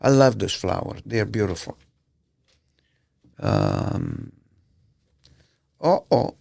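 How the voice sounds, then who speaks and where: A man with an Italian accent hosts a podcast from a studio, reading newspaper items aloud. His voice is gravelly and his delivery unhurried and halting, with slips he stops to correct. The sound is dry and close. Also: fairly low pitch, huge pitch swings, darkish tone, no echo. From Italy. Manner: halting, slips and rereads words, many fillers. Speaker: podcast host.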